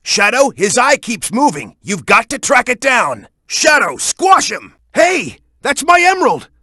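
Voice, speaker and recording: A young, hot-headed echidna fighter from a video game. The voice sits high for a male and swings widely, with a bright, forceful edge. He talks in an urgent, punchy, gung-ho way, recorded very dry. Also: very animated, a little slow, dry recording, full character voice. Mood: alarmed shouting. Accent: American. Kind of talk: animated video game voice acting.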